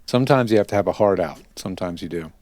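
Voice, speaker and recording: A man's voice: deep voice, fast talker, darkish tone, no room sound. A man hosting a casual interview, chatting in a rambling way that drifts into tangents. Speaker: podcast host and interviewer.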